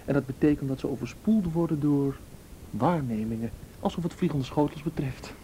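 An actor playing a quietly determined, brooding saboteur in an intimate radio drama, reflective and dryly ironic, with a Netherlands Dutch accent. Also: male voice, moderate pace, close to an everyday voice. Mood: wry. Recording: noisy radio drama recording, low rumble.

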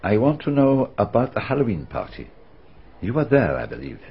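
Formal, polite detective; husky, mid-pitched, careful probing questions; dull old radio sound. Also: male voice, huge pitch swings, moderate pace, heavily acted. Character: polite, probing man detective of foreign manner. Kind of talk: BBC radio drama acting. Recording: dull, muffled archive radio broadcast.

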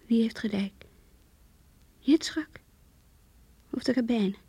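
A woman's voice: fairly high pitch, slow pace, warm tone, husky, no echo, full character voice. An actress playing an earnest, lovesick young woman who confides her diary in a Dutch radio play. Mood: hurt, sorrowful. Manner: earnest, intimate.

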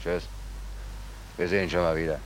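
An actor playing a jobless, stubborn, weary sailor in a 1940s German radio play, speaking in clipped colloquial phrases. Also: male voice, low-pitched, little pitch movement, normal speed, very noisy, natural voice. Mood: weary, resigned. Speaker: ship's stoker.

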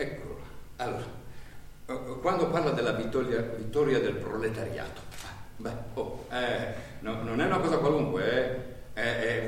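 An actor playing a rambling, earnest working-class man in a stage monologue, stammering, repeating himself and searching for words. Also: mid-range voice. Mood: confused, insistent. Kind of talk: theatrical stage monologue in a radio broadcast. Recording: very echoey stage, distant mic, faint noise.

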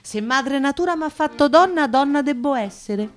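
A woman's voice: high voice, lively intonation, normal speed. An actress playing a vivacious, affected and flighty young woman in a radio drama, chattering. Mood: bubbly, coquettish. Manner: vivacious, affected, hops between subjects. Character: vivacious, flighty, artificially bubbly young Roman lower-middle-class woman. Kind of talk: theatrical radio drama monologue as interview.

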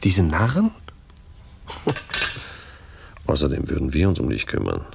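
An actor playing a domineering, scheming police inspector in a radio play, needling and coaxing with leading questions. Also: male voice, extremely low pitch, huge pitch swings, measured pace, vocal fry, very noisy, full character voice. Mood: coaxing, insinuating.

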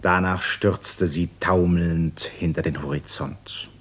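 A man narrating a 1950s German radio drama in a grave, literary style, measured and solemn with dramatic pauses. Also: sits low, steady tone, breathy edge, full character voice. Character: grave, literary male narrator of a tragic novel.

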